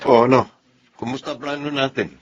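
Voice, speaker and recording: A man's voice: medium pitch, steady tone, moderate pace, dull audio, a little hiss or hum, close to an everyday voice. A man playing a cocky, ruthless and ambitious employee in a Tagalog drama. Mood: cocky, scheming. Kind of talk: Tagalog radio-style drama acting.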